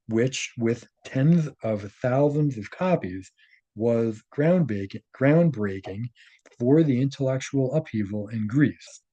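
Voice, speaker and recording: A man with a dark, relaxed voice at an average pitch reads written prose aloud. He mixes the reading with informal asides, sometimes halting to correct himself or check that the point is clear. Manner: halting, self-correcting, often checks with "right?". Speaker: host reading and commenting on a translated article.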